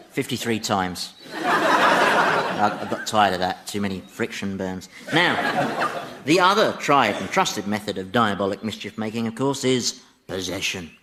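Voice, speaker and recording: An actor playing a sly, gleefully malicious Devil in a radio comedy, urbane and teasing, with a chuckling, mock-innocent manner. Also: male voice, on the low side, expressive, takes it slowly, pauses often, background noise. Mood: gleefully amused. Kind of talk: radio sitcom acting.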